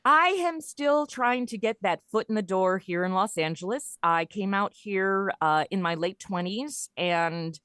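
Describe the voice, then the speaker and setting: Woman in middle age, informal jokey podcast guest, medium pitch, really dry sound. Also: lively intonation, a little slow, few pauses, a bit bright, dry recording. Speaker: podcast guest, comedy writer and audio performer. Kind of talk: casual interview chat.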